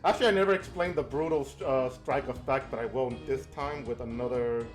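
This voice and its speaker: A man with a mid-range voice plays a tabletop game, rambling playfully as he thinks out loud. His chat is casual, and faint music plays underneath. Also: medium pitch, fairly quick.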